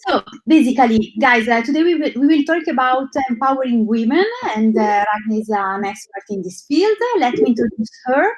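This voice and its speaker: A woman's voice: a little high, animated, brisk, few pauses, rough recording. A woman hosting an interview, chatting casually and asking questions with many fillers. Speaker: interview host.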